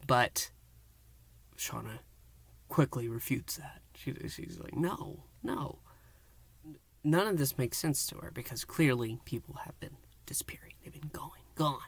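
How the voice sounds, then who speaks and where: A man's voice: medium pitch, sharp tone, dry recording. A man recapping an audio drama in a casual, playful review, often dropping into a whisper. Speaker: podcast reviewer.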